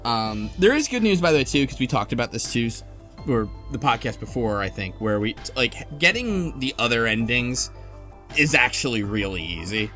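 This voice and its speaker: A man's voice: mid-range voice, very animated, normal speed, sharp tone, faint music behind. A man hosting a casual podcast discussion, talking in a hesitant, think-aloud way. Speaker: podcast host.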